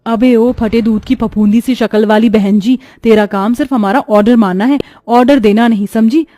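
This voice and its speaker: A woman's voice: a little high, steady tone, moderate pace, dark tone, no room sound, natural voice. A woman playing a spoiled, arrogant young stepsister in a moral story, taunting and bossy. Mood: bossy, cruel. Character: spoiled, arrogant, mocking young stepsisters bullying their sister. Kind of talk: animated Hindi moral story acting.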